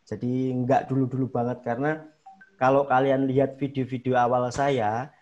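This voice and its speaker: Man, Javanese accent, casual seminar talk, emphatic, voice climbs when stressing a point, middle pitch. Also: flat delivery, moderate pace, darkish tone, dull audio. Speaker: YouTuber guest speaker.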